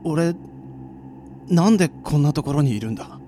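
A man's voice: fairly high pitch, lively intonation, normal speed, dark tone, slight background noise, lightly acted. A man playing an earnest young secret agent in a radio drama, with dramatic delivery and inner monologue. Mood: confused, groggy. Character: earnest young male secret agent, newly trained, defiant.

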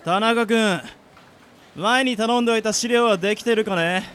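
A man's voice: high-pitched, normal speed, a bit bright. A man playing a stern middle-aged department boss in an amateur radio drama, demanding and scolding.

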